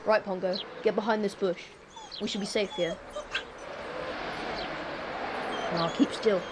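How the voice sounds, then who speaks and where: A woman's voice: mid-range voice, steady tone, fairly quick, very noisy, close to an everyday voice. A woman with an English accent playing an earnest, plucky ten-year-old evacuee boy in a wartime radio drama, with a childlike way of talking. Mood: hushed, wary.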